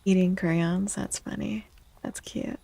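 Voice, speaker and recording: Female ASMR streamer, soft-spoken and unhurried, mellow tone, gentle casual chat. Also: lowish voice, steady tone, creaky. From United States, born to Mexican parents. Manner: gentle, encouraging, replying to viewers. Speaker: VTuber streamer chatting with viewers. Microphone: close, dry microphone.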